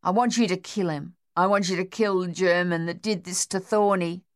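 A woman's voice: on the low side, fairly even intonation, moderate pace, natural voice. A woman voicing a desperate, shell-shocked young male Australian soldier in an audiobook, talking in a shaky, pleading, rambling way with an Australian accent. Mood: grieving.

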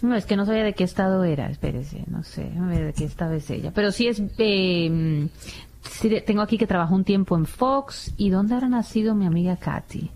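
A woman's voice: mid-range voice, fairly quick, darkish tone, a little hiss or hum. A woman chatting informally and frankly as a radio panelist, dropping bits of English into her talk. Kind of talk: casual radio chat.

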